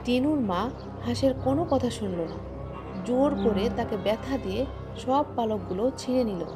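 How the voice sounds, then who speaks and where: A woman narrating a homemade cartoon fairy tale in a plain, even storytelling manner. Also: fairly high pitch, normal speed. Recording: music playing behind.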